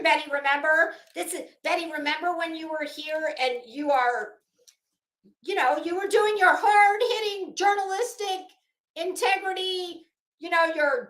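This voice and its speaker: Female vlogger, high voice in an echoey room. Sarcastic, foul-mouthed commentary on a dramatic reading, with halting, stretched-out emphasis. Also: a bit bright. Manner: sarcastic, ranting, profane. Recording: roomy, echoing room sound.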